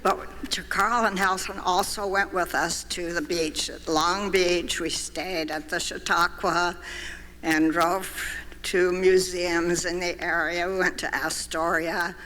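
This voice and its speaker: Older man, slow bright eulogy. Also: sits high, level tone. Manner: measured, reads prepared remarks. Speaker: eulogy speaker. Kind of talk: memorial tribute speech. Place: church sanctuary. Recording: long church hall reverb.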